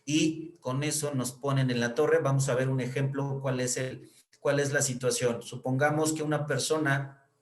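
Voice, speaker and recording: A mid-pitched Mexican man works through pension figures like an accountant teaching a class. He fills gaps with "eh" and keeps checking that the listener follows. The room around him rings with echo. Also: fairly even intonation, normal speed. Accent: Mexican Spanish. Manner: explanatory, many fillers and tag questions. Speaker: accountant explaining pension reform. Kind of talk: explanatory lecture. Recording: echoey room.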